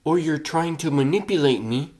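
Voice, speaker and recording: A man's voice: on the high side, moderate pace, darkish tone, natural voice. A man playing a moody, defensive teenage boy hero in a comic dub, with an irritable, muttering manner. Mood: suspicious.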